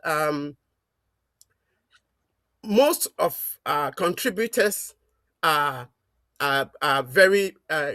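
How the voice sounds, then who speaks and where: High-voiced man giving halting preachy remarks. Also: pushed and bright, dry recording. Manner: halting, with many fillers and restarts. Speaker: caller. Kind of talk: preaching-style call-in remarks.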